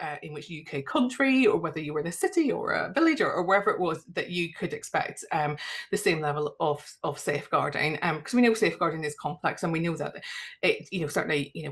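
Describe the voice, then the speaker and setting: A woman's voice: low-pitched, fairly bright tone. A woman with a Northern Irish accent, a GP giving a friendly webinar presentation over a video call, talking loosely with many fillers and restarts. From Northern Ireland.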